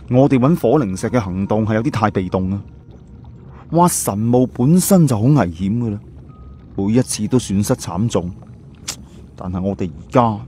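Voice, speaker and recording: A man's voice: lowish voice, mellow, slight background noise, close to an everyday voice. A man playing an earnest, thoughtful young adventurer in an audiobook, explaining plans seriously. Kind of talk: audiobook character acting.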